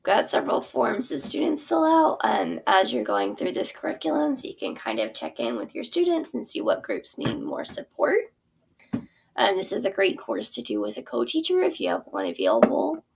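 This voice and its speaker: A woman's voice: a little high, huge pitch swings, moderate pace, creaky, a little mellow, a little hiss or hum. A woman teacher casually walking through her theater curriculum, chatty and full of fillers. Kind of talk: casual walkthrough of a product.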